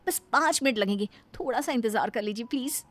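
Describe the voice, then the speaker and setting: High-voiced polite young daughter-in-law played by a woman. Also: a little fast, soft background music, close to an everyday voice. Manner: polite, pleading. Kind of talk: Hindi moral-story cartoon dub.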